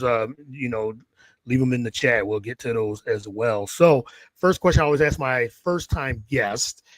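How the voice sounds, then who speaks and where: A man's voice: mid-range voice, fairly bright tone, dry recording. A man hosting a live podcast, asking friendly, rambling questions with restarts. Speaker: podcast host.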